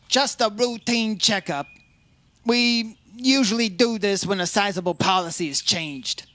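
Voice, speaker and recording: A man's voice: sits high, unhurried, pushed and bright, no echo. A man playing a straight-talking, inquisitive insurance investigator in an old-time radio detective drama, in plain, clipped phrases. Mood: probing, casual.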